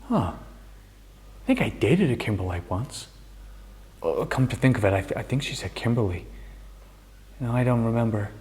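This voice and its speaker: A man's voice: mid-range voice, very animated, normal speed, long pauses, a little mellow, close to an everyday voice. A man acting a dim, easygoing, rambling rocker in a sitcom table read. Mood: joking.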